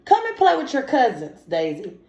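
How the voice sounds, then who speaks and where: A woman reading the part of a gentle, kindly mother duck from a children's storybook, coaxing her little one. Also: on the high side, wildly swooping pitch, moderate pace. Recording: echoey room.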